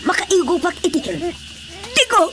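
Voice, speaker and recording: Fussing woman, high voice, drawn-out exclamations, radio drama acting, clearly noisy track. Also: big pitch swings. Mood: pleading, wailing.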